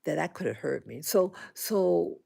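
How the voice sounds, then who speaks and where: A woman in her sixties talking into her own vlog, halting and indignant, with frequent restarts and fillers. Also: on the low side, lively intonation, vocal fry, warm tone, husky. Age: 60s. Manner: aggrieved, indignant. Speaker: vlogger. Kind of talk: personal monologue vlog.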